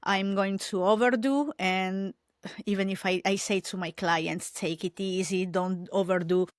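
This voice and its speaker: Italian woman guest, non-native English, pauses and restarts, mid pitch, dry close sound. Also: fairly even intonation, no echo. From Italy. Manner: halting, many fillers and restarts in English. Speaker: podcast guest. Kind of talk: casual interview chat.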